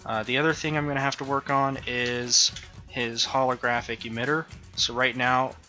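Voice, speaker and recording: Man, game developer, very bright voice, casual devlog, lots of ums, faint music underneath. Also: medium pitch, moderate pace. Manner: relaxed, thinking aloud with many ums and uhs. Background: faint background music.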